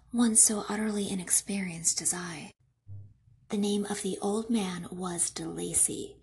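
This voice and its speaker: A woman with a breathy, husky, asthmatic voice, hosting a podcast and reading aloud. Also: medium pitch, a little mellow. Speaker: podcast host and book reader. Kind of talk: casual podcast intro, then audiobook-style reading of a classic novel.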